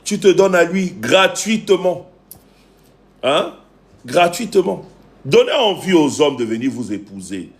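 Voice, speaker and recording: A man's voice: a little high, sing-song, measured pace, long pauses. A man with a Cameroonian accent coaching viewers on a livestream, preaching emphatically and repeating phrases for effect. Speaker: relationship coach and live stream host. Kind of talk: preachy livestream talk to viewers, greeting commenters.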